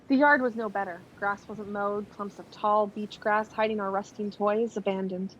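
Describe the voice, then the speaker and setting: A woman's voice: steady tone, normal speed, close to an everyday voice. A woman playing a reflective thirty-nine-year-old looking back on her life in a scripted radio play. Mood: somber, reflective. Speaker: actor in a college radio play. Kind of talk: scripted radio drama narration. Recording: muffled with faint rumbling noise.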